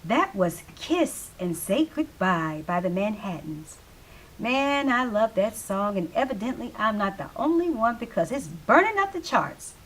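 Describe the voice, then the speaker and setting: A woman's voice: mid-range voice, sing-song, measured pace, poor audio. A woman playing a chatty 1970s local radio morning newscaster in a play reading, colloquial and emphatic.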